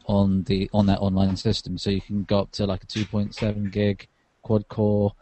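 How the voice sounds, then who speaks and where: A man's voice: fairly low pitch, monotone, normal speed, dark tone. A tired man, a podcast co-host, chatting casually about tech while lying on a sofa.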